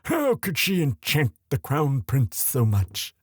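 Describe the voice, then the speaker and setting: A man playing a devoted young crown prince in a romantic ASMR audio drama, earnest and tender, with formal, halting, courtly speech. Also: mid-range voice, wildly swooping pitch, slightly rough, dry recording, clean studio sound, full character voice. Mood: tender, protective.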